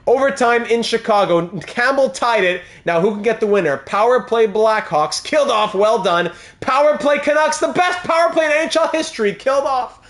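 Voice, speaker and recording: A man's voice: very high-pitched, normal speed, hardly any pauses. A young man streaming a hockey video game, chatting with viewers and calling the plays as they happen. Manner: chatty, reads chat aloud, excited calls of game plays. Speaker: gaming streamer playing a hockey franchise mode. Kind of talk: live-stream commentary over gameplay.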